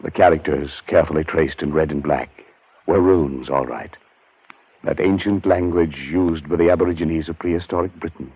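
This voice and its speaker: A deep, grave haunted scholar. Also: male voice, fairly even intonation, moderate pace, husky, exaggerated voice. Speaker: narrator and lead character. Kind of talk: 1940s radio drama acting. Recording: tinny old radio broadcast.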